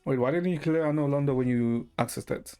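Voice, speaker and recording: A man's voice: mid-range voice, fairly quick, mellow, smooth. A man streaming a game and chatting casually with viewers, thinking aloud hesitantly with some mild swearing. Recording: music playing behind the voice. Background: music.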